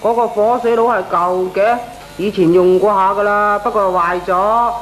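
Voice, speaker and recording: A woman's voice: mid-range voice, fairly even intonation, normal speed, faint music behind, lightly acted, low-quality recording. A woman playing a frugal middle-aged Hong Kong landlady in a Cantonese comic radio drama, colloquial and grumbling. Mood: businesslike.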